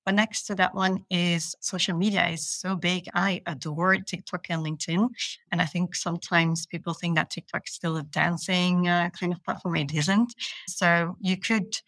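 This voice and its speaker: A woman's voice: on the low side, steady tone, normal speed, fairly bright tone, no echo. A woman from Belgium, a procurement manager, answering interview questions with enthusiasm and earnest small restarts.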